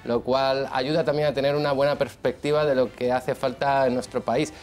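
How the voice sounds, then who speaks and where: A man from Brazil, long settled in Spain, speaking as a political guest on a TV panel. His delivery is earnest and steady, and he clears his throat now and then. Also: medium pitch. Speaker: party leader, panel guest. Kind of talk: televised panel talk. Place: TV studio.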